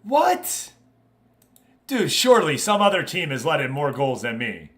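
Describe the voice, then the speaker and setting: A man's voice: fairly high pitch, big pitch swings, measured pace, a bit bright. A man hosting an online football management game league, chatting casually and informally with his viewers as he commentates.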